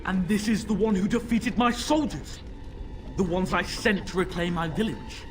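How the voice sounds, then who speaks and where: A woman's voice: mid-range voice, normal speed, slight background noise, close to an everyday voice. A woman voicing a young boy possessed by a demon in a video game, cruel, mocking and imperious, with music behind. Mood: cold, mocking.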